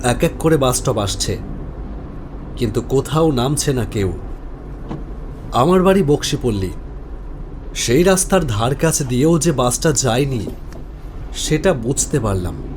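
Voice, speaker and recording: A man's voice: medium pitch, lively intonation, measured pace, long pauses, darkish tone, a little hiss or hum. A man narrates a scripted first-person horror story.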